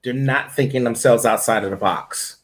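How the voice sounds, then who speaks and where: A man's voice: medium pitch, moderate pace. A middle-aged man, a voice-over coach, telling stories in a casual interview and acting out the directions he gives in the booth. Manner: animated storyteller who acts out booth directions.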